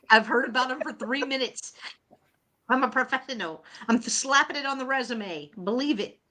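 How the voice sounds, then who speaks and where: A woman's voice: sits high, animated, sharp tone. A woman co-hosting a live sale, joking playfully and laughing easily. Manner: playful, jokey, quick to laugh. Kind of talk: casual joking chat.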